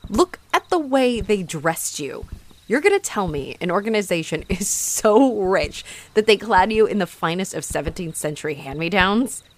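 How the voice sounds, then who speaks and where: A woman's voice: medium pitch, sing-song, moderate pace, natural voice. A woman playing a haughty, sarcastic female vampire in a roleplay audio drama, mocking and theatrical as she taunts her rival. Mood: gleefully mocking.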